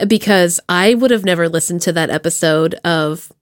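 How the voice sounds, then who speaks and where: A woman's voice: fairly low pitch, moderate pace, dry recording, clean studio sound. A woman hosting a podcast in a casual, chatty interview style, enthusiastic and playful as she talks with her guest.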